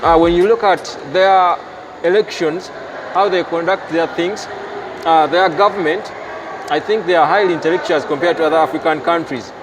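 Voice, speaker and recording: A man's voice: on the high side, moderate pace, music in the background, rough recording. A Ugandan man with a Ugandan accent talking at length in a casual chat. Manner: expansive, explains at length. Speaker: guest.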